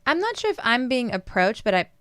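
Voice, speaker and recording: A woman's voice: on the high side, very animated, a little fast, a bit bright, clear, resonant tone, dry recording, clean studio sound. A woman from Iran, raised in Germany, speaking as an academic guest in an interview, thoughtful and often laughing as she explains. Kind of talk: casual interview conversation.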